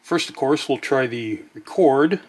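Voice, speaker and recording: A man's voice: mid-range voice, animated, unhurried, breathy edge. A man narrating a casual product demonstration in a plainspoken, matter-of-fact way. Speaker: demonstrator of vintage electronics.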